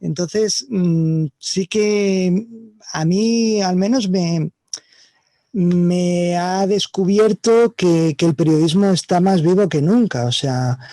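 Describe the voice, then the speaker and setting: A man with a Spanish accent from Spain speaks on an online panel, reflecting on his project in a halting way. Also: high voice, dark tone, no echo. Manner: halting, reflective, many restarts. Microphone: video call.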